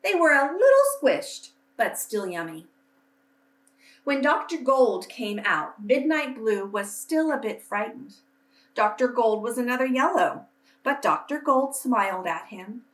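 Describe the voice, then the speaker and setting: Slow-paced woman reading to children, roomy sound. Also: a little high, sing-song. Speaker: storyteller reading aloud to children. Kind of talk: expressive children's story read-aloud. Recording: roomy echo, distant microphone.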